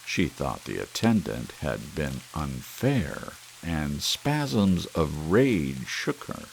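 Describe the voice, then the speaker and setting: A man reading an audiobook in an ASMR style, deliberately and with expressive phrasing, in a deep, gravelly voice. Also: a little hiss or hum, rough recording. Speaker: audiobook narrator. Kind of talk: scripted ASMR audiobook narration. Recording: faint rain sounds behind voice. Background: steady soft rain.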